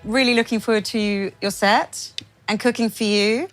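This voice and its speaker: A woman in a mid-range voice hosts the show and cooks for her guest. She sounds friendly and familiar with him, and music plays clearly under her voice. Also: medium pitch, steady tone, normal speed, a bit bright. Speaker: host and chef. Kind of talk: hosted interview with scripted intro.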